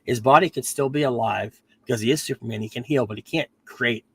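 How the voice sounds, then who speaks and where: A man's voice: medium pitch, brisk, fairly bright tone, breathy edge. Man with a cold recapping a show. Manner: rambling, self-correcting, frequent "um"s. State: getting over a cold, losing his voice. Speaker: podcast host reviewing a TV series. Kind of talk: casual recap chat.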